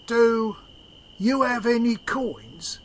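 A man playing a simple-minded, deferential henchman with a halting, childlike way of talking in an audio drama. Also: extremely high pitch, sing-song, full character voice. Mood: meek, dim.

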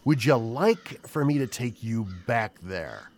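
A man performs a villainous professor in an audio play. He is menacing and exasperated, drawing out his words slowly and condescendingly with a widely swinging pitch. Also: very animated. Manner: condescending, menacing, drawn-out questioning.

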